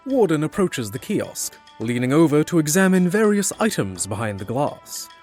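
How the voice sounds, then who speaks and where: A man's voice: a little high, big pitch swings, normal speed, darkish tone, soft background music. A man reading scripted narration for a fantasy audio drama.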